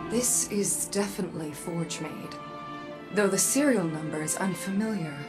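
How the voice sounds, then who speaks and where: An actress playing a proud, guarded robot armorer in a video game, speaking in a measured, cool, disdainful manner. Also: female voice, lowish voice, close to an everyday voice. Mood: disgusted. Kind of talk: video game character acting. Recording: game music under the voice.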